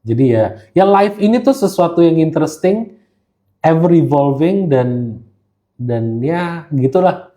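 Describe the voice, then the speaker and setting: A mellow-voiced Indonesian man speaks as a podcast guest. His pitch sits a little high for a man. He talks in a casual, slangy way and drops English phrases into his sentences. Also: lively intonation, unhurried. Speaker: guest gemologist who hosts live streams.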